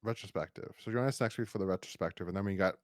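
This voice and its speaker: A man's voice: low-pitched, racing, dry recording, clean studio sound. A man co-hosting a podcast, chatting casually and enthusiastically through a review in a rambling way. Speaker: podcast co-host.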